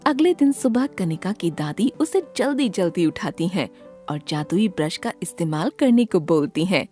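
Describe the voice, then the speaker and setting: Female storyteller, scripted children's tale, expressive swooping pitch, husky tone, soft music behind. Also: moderate pace.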